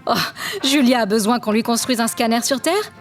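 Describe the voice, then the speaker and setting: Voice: mid-range voice, normal speed, soft background music, close to an everyday voice. An actress playing a cold, contemptuous analytical tormentor with a clinical, imperious way of talking in an audio drama. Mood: scornful. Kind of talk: scripted audio drama acting.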